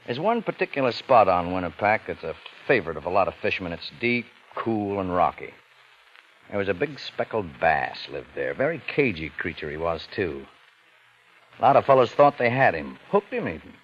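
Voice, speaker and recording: An actor playing a sly, folksy investigator in a vintage radio drama, speaking casually and deliberately with knowing insinuation. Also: male voice, deep voice, very animated. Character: sly, folksy, patient investigator needling a suspect. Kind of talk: 1940s-50s radio acting. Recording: muffled vintage radio recording, faint noise.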